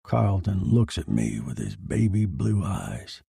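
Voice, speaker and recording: A man playing a murdered man who narrates his own noir horror tale, bitter, detached and deliberate. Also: low-pitched, sing-song, gravelly, warm tone, slightly rough, no room sound, clean studio sound, pitched below the performer's own voice, full character voice. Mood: brooding. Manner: brooding, deliberate, dramatic. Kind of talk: scripted first-person noir horror narration.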